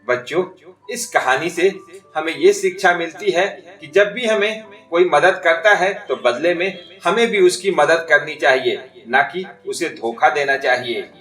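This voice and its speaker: Guy narrating a kids story, voice a bit high, echoey and off the mic with music under it. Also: measured pace, fairly bright tone. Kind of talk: scripted children's story narration. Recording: distant, echoey, music behind.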